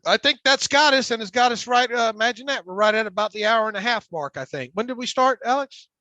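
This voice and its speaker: A man co-hosting a casual sports podcast, with a stutter and a gravelly smoker's voice, often restarting and repeating words. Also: extremely high pitch, animated, fast, sharp tone, no room sound. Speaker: podcast co-host. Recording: dull lo-fi dry sound.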